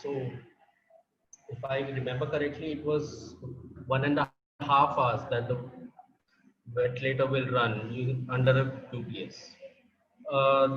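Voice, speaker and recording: Indian man presenting a technical workshop; slightly high voice, roomy and dull call audio. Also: slight background noise. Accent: Indian English. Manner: explanatory, with frequent fillers and restarts.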